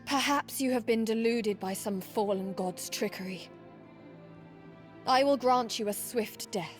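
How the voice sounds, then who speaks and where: A woman's voice: on the high side, unhurried, natural voice. A woman playing a dutiful young Valkyrie warrior in a video game, speaking in formal, stern, earnest phrases. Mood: stern, cold. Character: solemn, dutiful young female Valkyrie warrior serving a god. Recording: music under the voice.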